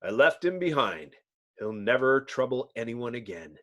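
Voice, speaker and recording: A man acts the thoughtful leader of a superhero team. He speaks earnestly and dramatically, with pitch moving widely. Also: big pitch swings, moderate pace, fairly bright tone, lo-fi sound. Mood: solemn.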